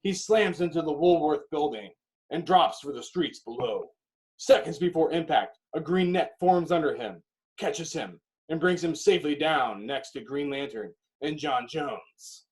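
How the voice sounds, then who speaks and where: A man narrates an action script dramatically. His voice sits slightly high, and he breaks the directions into punchy, clipped phrases with pauses. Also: animated, poor audio. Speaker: narrator reading stage directions.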